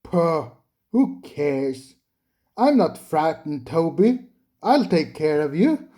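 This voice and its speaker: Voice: mid-range voice, very animated, takes it slowly, dark tone. A storyteller voicing an impatient, proud little male engine who stammers when flustered. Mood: boastful.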